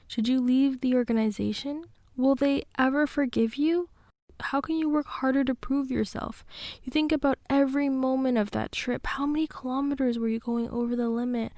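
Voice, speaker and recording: High, mellow woman telling a dramatic story. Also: no room sound. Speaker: narrator and host. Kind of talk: second-person dramatic storytelling narration.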